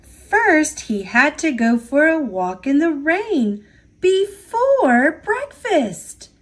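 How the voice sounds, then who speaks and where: A woman reading children's picture books aloud in an expressive, deliberate storytelling manner for young listeners. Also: high voice. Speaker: storybook reader.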